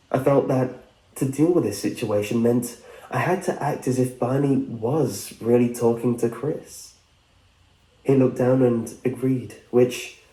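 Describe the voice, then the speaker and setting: Mellow man narrating a horror story. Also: mid-range voice. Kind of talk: scripted first-person horror narration. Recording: roomy sound with music behind. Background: background music.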